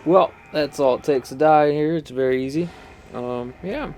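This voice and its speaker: A man's voice: on the high side, moderate pace, a little mellow, slight background noise. A man giving casual, rambling, unscripted commentary while playing a video game. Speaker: gaming video host.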